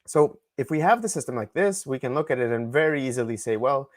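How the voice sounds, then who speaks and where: A middle-aged man from Ecuador, a Spanish speaker, giving a recorded lecture in a relaxed way with many ums and self-corrections. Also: medium pitch, fast talker, no echo, studio quality.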